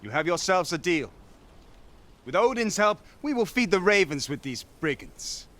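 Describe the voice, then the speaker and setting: A bold and wry Viking warrior leads with commanding lines in a game cutscene. His voice sits a little high for a man and swings with lively intonation. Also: moderate pace. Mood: resolute. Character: bold young male Viking warrior leader, wry but honorable. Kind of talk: dramatic video game acting.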